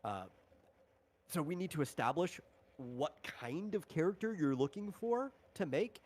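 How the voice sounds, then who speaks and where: A man's voice: a little high, lively intonation, a little slow, a little mellow. A man talking casually on a livestream as a tabletop game designer, explaining rules in a rambling, informal way.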